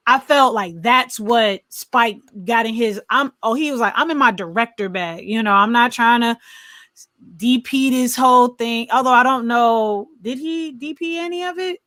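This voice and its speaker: A woman co-hosting a podcast, talking through films casually in an animated, rambling, think-aloud way. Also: fairly high pitch, normal speed, dry recording. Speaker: podcast co-host. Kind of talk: casual film discussion.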